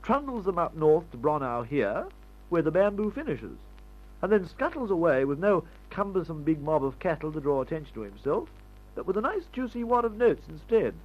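A man's voice: on the high side, big pitch swings, normal speed. An actor playing a breezy upper-class English airman in an old radio adventure serial, drawling and blustering in old-fashioned slang. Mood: jaunty, complacent. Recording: dull, muffled old radio recording.

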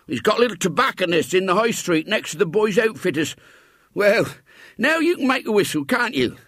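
A man's voice: sits high, sing-song, fairly quick, a bit bright, dry recording, clean studio sound, voice pushed up, heavily acted. A man voicing a cheerful, boastful old man with country dialect, in a storybook reading. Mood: delighted.

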